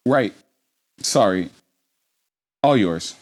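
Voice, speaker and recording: An actress playing a wry amateur sleuth who mutters clues to herself in a radio play, with a husky voice. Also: female voice, extremely low pitch, expressive, extremely slow, a little mellow, heavily acted. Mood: hushed, determined. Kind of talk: radio play acting, thinking aloud.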